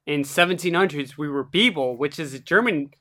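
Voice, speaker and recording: A young woman co-hosting a casual chat, animated and talkative, often laughing. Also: low voice, brisk. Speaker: podcast co-host.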